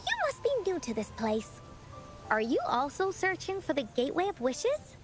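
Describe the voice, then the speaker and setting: A cheerful, imaginative little girl in a video game. The voice is tiny and very high, leaping around with bubbly, childlike eagerness. Music runs underneath. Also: very animated, moderate pace. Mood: eager, cheerful. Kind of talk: video game character acting.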